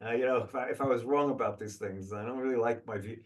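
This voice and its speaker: A man's voice: mid-range voice, fast, distant mic. A man hosting a video call briefing, answering questions bluntly and thinking aloud with restarts.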